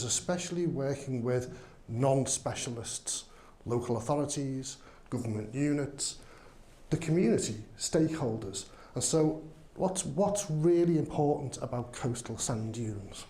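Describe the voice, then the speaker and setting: A man's voice: mid-range voice, warm tone, roomy sound, slight background noise. A man with a Liverpool accent giving an enthusiastic conference talk, with many ums. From England. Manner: enthusiastic, filler-heavy, says um often. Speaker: conference speaker. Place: conference hall.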